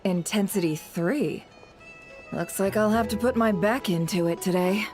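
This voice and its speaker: A woman voicing a poised, formal and teasing hostess in a video game, speaking in measured phrases. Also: mid-range voice, over music, close to an everyday voice. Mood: brisk, playful.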